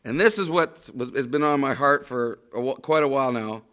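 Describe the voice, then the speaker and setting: A man preaching a sermon to a congregation, loud and emphatic, often restarting his sentences. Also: medium pitch, fairly quick. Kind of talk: sermon with scripture reading. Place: church room with a congregation. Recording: dull lo-fi sermon recording.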